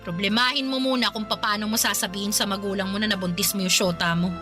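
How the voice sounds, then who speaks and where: An actress playing a defiant, bitter pregnant student in a Filipino radio drama, sarcastic and blunt with a Filipino accent. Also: female voice, mid-range voice, steady tone, quick pace, over music, close to an everyday voice. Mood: stern. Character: defiant pregnant young student, bitter, sharp-tongued girlfriend.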